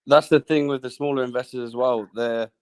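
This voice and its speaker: A man co-hosting an online call, chatting in a calm, measured way. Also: mid-range voice, smooth. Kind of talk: casual chat.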